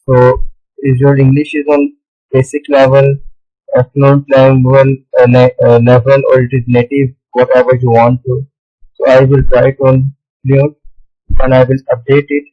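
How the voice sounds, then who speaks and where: Urdu-speaking guy doing a screen tutorial, lots of okay so, flat voice, muffled mic. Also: medium pitch. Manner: halting, with many "okay, so" fillers. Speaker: tutorial host. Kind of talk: screen-recorded tutorial walkthrough.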